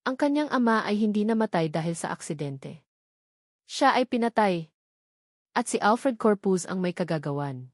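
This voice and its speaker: A woman narrating a scripted romantic drama story in a measured, dramatic storytelling manner. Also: mid-range voice, expressive, dry recording, clean studio sound.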